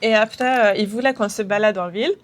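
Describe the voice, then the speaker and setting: A woman's voice: medium pitch, fast. Accented woman telling a dating story. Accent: foreign accent. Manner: warm, encouraging, storytelling with fillers. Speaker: host. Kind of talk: casual chat.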